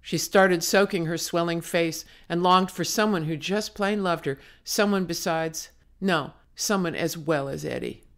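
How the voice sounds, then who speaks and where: Expressive woman reading a novel aloud. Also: lowish voice, unhurried, hardly any pauses. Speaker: author reading own novel. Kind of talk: expressive audiobook narration.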